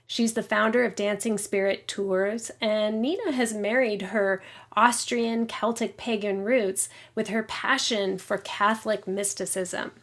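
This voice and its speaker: A woman hosts a podcast. She reads a smooth introduction and then asks her guest curious questions, at a middle pitch. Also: fairly even intonation, measured pace, fairly bright tone. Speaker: podcast host. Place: office.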